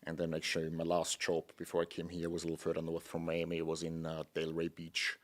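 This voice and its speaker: Deep, flat-voiced Austrian man. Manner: polite, measured, slightly halting. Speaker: guest, hotel general manager. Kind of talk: interview answers. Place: studio.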